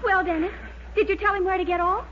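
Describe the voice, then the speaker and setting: A woman's voice: very high-pitched, steady tone, fast. An actress playing a supportive, scheming young girlfriend who takes charge, in 1940s radio sitcom style. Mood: concerned, plotting. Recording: muffled 1940s radio recording, slight noise.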